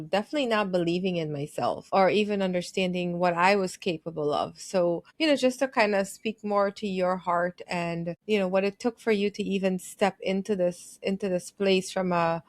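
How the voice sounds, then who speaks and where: A woman's voice: on the low side, no room sound, clean studio sound. A woman from Guyana hosting a remote podcast, asking long, winding, earnest questions. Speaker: podcast host. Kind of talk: conversational interview hosting. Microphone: remote call recording.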